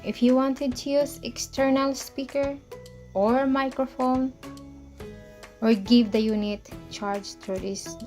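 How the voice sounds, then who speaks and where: A woman with a slightly high voice walks viewers through a product for an online video. She speaks slowly and haltingly, with non-native phrasing, over light background music. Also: fairly even intonation, a little mellow. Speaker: product reviewer and parent presenting to camera. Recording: music playing behind the voice.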